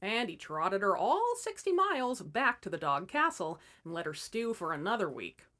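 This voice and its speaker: A woman's voice: medium pitch, big pitch swings, moderate pace, fairly bright tone. A woman hosting and narrating fairy tales as scripted comedy, with wry, irreverent asides. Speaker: host and storyteller.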